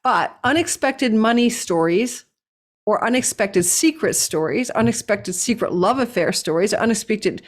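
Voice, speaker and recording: A hoarse woman host giving a casual, digressive horoscope reading, recorded indoors at a relative's house and laughing at herself now and then. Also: fairly high pitch, expressive, a little slow. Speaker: astrologer host.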